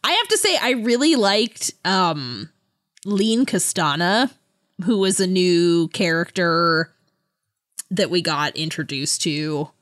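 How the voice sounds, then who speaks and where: A woman's voice: fairly low pitch, lively intonation, slow pace, a bit bright. A woman co-hosting a podcast in a casual book chat, with a playful, giggly manner. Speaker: podcast co-host.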